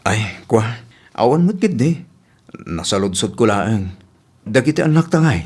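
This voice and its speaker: A man's voice: lowish voice, sing-song, measured pace, darkish tone, slightly rough, full character voice. A man playing a hapless, pleading estranged husband in a radio comedy drama, insistent and whiny, with an Ilocano accent. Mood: sheepish.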